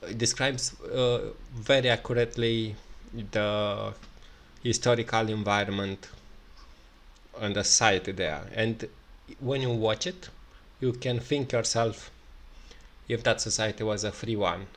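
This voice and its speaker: A man with a Romanian accent, speaking English in an unscripted solo commentary, halting with many fillers and restarts. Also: on the low side, steady tone, fairly bright tone. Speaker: video commentator.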